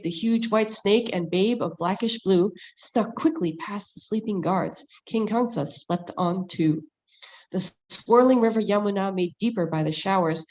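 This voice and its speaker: A woman narrating a sacred story in rhyming verse with an even storytelling cadence. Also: medium pitch, rough recording.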